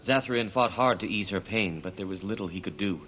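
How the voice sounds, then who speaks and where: Man acting as male elf hunter, fantasy game, low pitch, grieving, formal, earnest, slight background noise. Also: fairly quick, fairly bright tone, close to an everyday voice. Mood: sorrowful.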